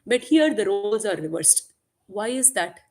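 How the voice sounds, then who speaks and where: A woman teaching a group about devotional texts, with Tamil as her first language. She explains patiently, poses questions to her listeners and answers them, and uses Tamil and Sanskrit words throughout. Her voice sits at an ordinary pitch for a woman. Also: big pitch swings, moderate pace. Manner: mixes in Tamil and Sanskrit terms. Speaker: religious teacher.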